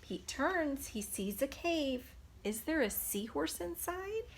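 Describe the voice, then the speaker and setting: Female preschool storyteller, highish voice, unhurried delivery, engaging small children with simple questions. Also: slight background noise. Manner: expressive, simple questions addressed to young children. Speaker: preschool teacher reading a picture book aloud. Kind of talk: children's storytime read-aloud.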